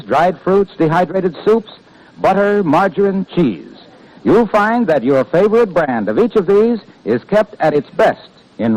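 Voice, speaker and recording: 1950s radio announcer: American man, salesmanlike and polished, raised pitch, swooping intonation. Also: darkish tone, poor audio.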